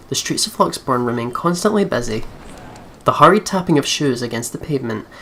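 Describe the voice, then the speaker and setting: A man's voice: mid-range voice, a bit bright. Man reading a horror game, faint noise. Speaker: let's player. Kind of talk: reading a visual novel aloud with casual asides.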